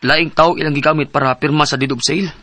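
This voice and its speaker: A man's voice: a little high, fairly even intonation, fast talker, close to an everyday voice. An actor with a Cebuano Filipino accent playing a worried, earnest husband in his thirties who seeks advice in a radio drama. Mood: alarmed, uneasy. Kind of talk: radio drama acting and letter narration.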